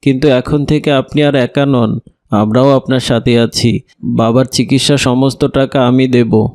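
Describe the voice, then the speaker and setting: A dutiful young family man in a cartoon acted by a man, with a medium-pitched voice. He is respectful, earnest and reassuring, recorded close and dry. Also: fairly even intonation, unhurried, darkish tone, no echo, lightly acted. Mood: earnest, insistent. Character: kind, dutiful young brother-in-law, respectful and firm. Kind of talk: Islamic moral cartoon dialogue.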